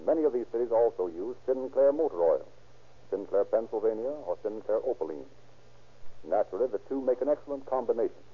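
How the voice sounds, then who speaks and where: Formal American radio narrator, tinny old recording. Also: male voice, medium pitch, breathy edge. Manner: formal, dramatic. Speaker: narrator and announcer. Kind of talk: scripted 1930s radio narration.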